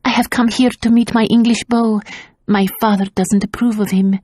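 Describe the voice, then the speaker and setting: A woman reading an audiobook voices an anxious, quick-witted young noblewoman who pleads breathlessly. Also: on the high side, level tone, darkish tone, no room sound, studio quality, pitched above the performer's own voice, natural voice. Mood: pleading.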